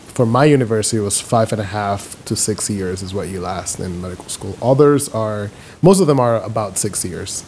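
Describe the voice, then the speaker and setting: Low-voiced Dominican-born doctor, unhurried. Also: male voice, a little hiss or hum. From Dominican Republic. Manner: relaxed, thoughtful, with frequent um fillers. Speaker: guest physician. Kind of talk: conversational interview answers.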